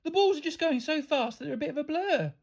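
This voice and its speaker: A woman's voice: high-pitched, lively intonation, fast talker, a little mellow, voice pushed up. A woman voicing a cheeky storybook schoolgirl, mock-polite and feigning innocence. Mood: sly.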